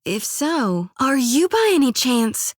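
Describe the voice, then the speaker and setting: Folksy young priestess. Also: female voice, high-pitched, very animated, takes it slowly, no room sound, clean studio sound, full character voice. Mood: curious. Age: 20s. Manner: folksy homespun drawl with rural sayings. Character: young woman soul-harvesting priestess, folksy and stubborn. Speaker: game companion. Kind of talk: game voice acting.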